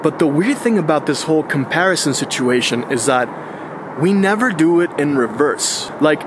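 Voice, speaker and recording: A man's voice: on the high side, normal speed, background noise. A man in his thirties musing in a casual, slangy, chatty monologue for his vlog. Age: 30s. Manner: relaxed and chatty, with slangy asides. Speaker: vlogger.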